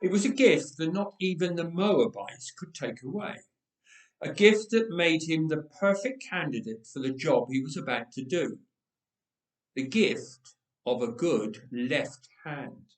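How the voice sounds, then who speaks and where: A man reading a story aloud in a dramatic storytelling style, with deliberate, expressive phrasing and emphatic repetition. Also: fairly high pitch. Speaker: story reader. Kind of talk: dramatic read-aloud storytelling of a Bible tale. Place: inside a church. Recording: roomy, echoing church acoustic.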